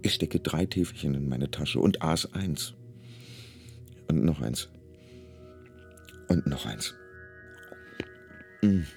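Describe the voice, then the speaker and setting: A man's voice: a bass voice, takes it slowly, creaky, pauses often, darkish tone, soft background music, full character voice. A man playing an anxious, wry hospital patient, telling his story in a dry, musing first-person radio play narration. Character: anxious, wry, hungry male emergency-room patient.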